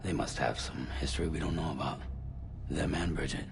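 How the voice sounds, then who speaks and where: An actor playing a weary, gruff middle-aged courier who talks in quiet, terse lines. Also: male voice, a little fast, music in the background. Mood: subdued. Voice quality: deep gravelly voice. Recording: game cutscene audio with score.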